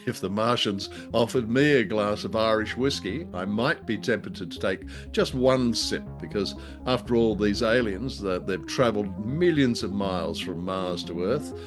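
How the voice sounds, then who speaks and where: A low-pitched man talks as co-host of a radio drama show. His delivery is casual and bookish, with a halting, wry turn to his remarks. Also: over music.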